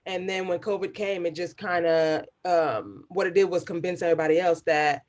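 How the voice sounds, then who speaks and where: A woman of forty, a co-host, talking in a quick, emphatic, laughing way in a casual chat. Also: lowish voice, muffled recording. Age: 40s. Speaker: co-host, attorney.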